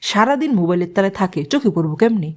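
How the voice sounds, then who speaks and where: A woman playing a hot-headed middle-aged mother in a cartoon, speaking. She nags and mocks in a voice that leaps up and down in pitch and sits at a middling height for a woman. Her rebukes come sharp and sarcastic. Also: animated, rapid-fire, loud music behind the voice, lightly acted. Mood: angry nagging. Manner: nagging, sarcastic, shouting rebukes. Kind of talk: cartoon voice acting.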